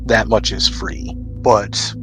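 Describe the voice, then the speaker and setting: A man's voice: lowish voice, fairly even intonation, slow pace. A man acting as game master, narrating a tabletop roleplaying game in a casual, improvised way. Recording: music playing under the voice. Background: background music.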